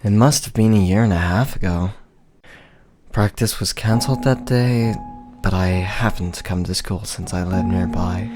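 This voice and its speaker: Low-voiced earnest teenage anime boy, played by a man. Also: moderate pace, darkish tone, faint music behind, natural voice. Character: earnest, smitten teenage schoolboy athlete. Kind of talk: English anime dub acting.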